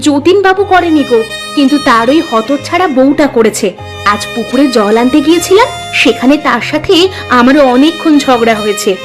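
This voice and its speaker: A woman's voice: high voice, expressive, brisk, soft background music. A woman voicing a proud, plain-spoken poor village wife in a cartoon drama. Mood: sympathetic.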